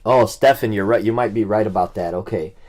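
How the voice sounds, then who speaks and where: A man's voice: on the low side, brisk, darkish tone. A man hosting a casual livestream and talking on the phone, speaking bluntly and colloquially and often repeating his phrases. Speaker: livestream host making records-request phone calls.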